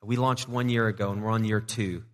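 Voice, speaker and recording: A man's voice: lowish voice, fairly even intonation, fairly quick. A male pastor preaching a casual, joking sermon to his congregation. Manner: conversational and joking, with playful asides to the congregation. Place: church sanctuary. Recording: long reverb of a church hall.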